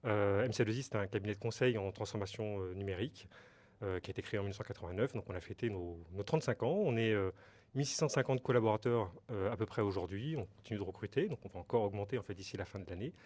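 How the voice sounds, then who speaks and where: A man's voice: on the low side, fast, darkish tone. A middle-aged man from Brittany, France, answering interview questions as a company director, explaining hesitantly with many fillers and repeated words.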